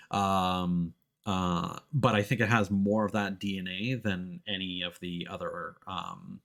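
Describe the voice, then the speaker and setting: A man giving casual live gameplay commentary as a streamer, often thinking out loud in a halting way. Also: low-pitched. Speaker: game streamer.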